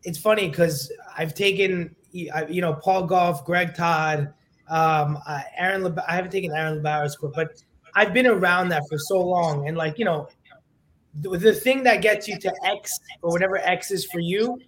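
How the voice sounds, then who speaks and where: A young man from New York talks in a brash, lively way, acting out his own remarks as quotes. His voice sits high for a man. He is a guest on a casual podcast. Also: moderate pace. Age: young adult. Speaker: guest physical therapist.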